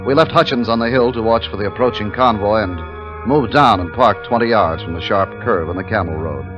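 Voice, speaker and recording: A man acting a weary, tense army captain in a vintage radio thriller. His low voice carries wartime narration and orders, on a muffled old recording with music behind. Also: a little fast, natural voice. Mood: tense, grim narration. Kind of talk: 1950s radio drama acting and first-person narration.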